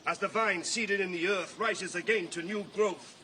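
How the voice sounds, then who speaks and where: A man's voice: high voice, normal speed, sharp tone, a little hiss or hum. An actor playing a mystical male preacher, declaiming in an incantatory way in a classical radio drama. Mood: fervent calm. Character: mystical preacher of the soul's rebirth.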